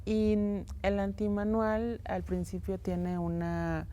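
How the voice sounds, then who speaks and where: A woman's voice: medium pitch, fairly even intonation, slow, warm tone. A middle-aged woman, an academic guest on a studio interview show, speaking thoughtfully with frequent fillers and tag questions. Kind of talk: conversational interview. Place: television studio.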